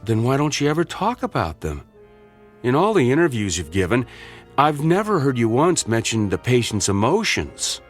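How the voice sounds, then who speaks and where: A man's voice: mid-range voice, big pitch swings, moderate pace, faint music behind. A man playing a blunt, skeptical doctor. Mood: probing. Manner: blunt, questioning, sardonic. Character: skeptical male colleague doctor, probing. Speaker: fellow doctor. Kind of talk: old-time radio drama acting.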